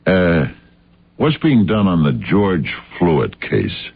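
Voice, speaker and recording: Very deep, gravelly, dogged investigator. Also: male voice, huge pitch swings, heavily acted. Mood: insistent. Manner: halting, pressing questions with many ums. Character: gruff probing male sleuth, dogged and wary. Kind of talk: radio drama acting. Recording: muffled lo-fi radio drama recording.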